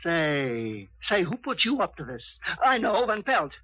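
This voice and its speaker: Cranky eighties-aged scientist for radio drama, shrill and forceful, indignant, fiercely possessive. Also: male voice, sing-song, a little slow, slightly rough, heavily acted, rough recording. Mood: furious, indignant. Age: 80s. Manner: blustering, sputtering, indignant. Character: irascible old scientist, man of eighty-five, fiercely possessive. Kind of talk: old-time radio drama acting. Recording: vintage radio broadcast recording.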